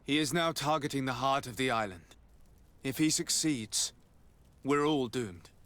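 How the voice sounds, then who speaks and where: An actor playing an earnest young nobleman in a video game, speaking formally and gravely as a resolute envoy. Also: medium pitch, a little slow, a bit bright, close to an everyday voice. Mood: grave warning. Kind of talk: dramatic video game dialogue.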